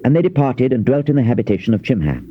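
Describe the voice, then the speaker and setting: A man giving a solemn, measured, dramatized narration of scripture in archaic King James English. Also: medium pitch, very animated. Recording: dull, hissy old cassette tape transfer.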